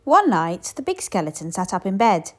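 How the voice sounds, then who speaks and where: A woman reading a children's story aloud in an animated, expressive way with dramatic emphasis. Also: medium pitch, normal speed. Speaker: storybook reader.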